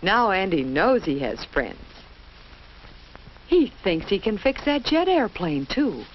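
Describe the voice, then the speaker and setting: An American woman narrates a 1950s instructional film for children with very lively, swooping intonation. She speaks didactically in simple phrases, putting questions to her young listeners. The old soundtrack is dull, with a slight layer of noise. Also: mid-range voice, slow pace.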